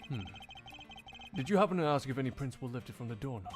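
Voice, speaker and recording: A man voicing a cool, formal male prosecutor in a live one-man fan dub of a game, speaking in a low, measured, clipped way. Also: animated, soft background music, natural voice.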